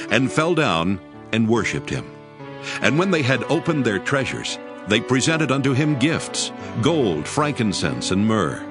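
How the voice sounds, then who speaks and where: Expressive male scripture narrator over music. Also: medium pitch. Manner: solemn, expressive.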